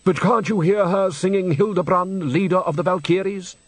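A man reading aloud and voicing an older male opera director who speaks slowly and wistfully. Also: high-pitched, steady tone, dry recording, pitched above the performer's own voice. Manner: slow, wistful, nostalgic.

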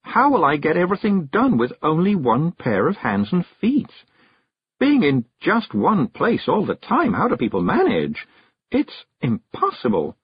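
A man voicing a weary, dazed and fretful woman witch in a storybook, with a faint, halting, dreamy murmur. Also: fairly high pitch, very animated, slightly rough, dry recording, voice pushed up. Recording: dull, lo-fi recording.

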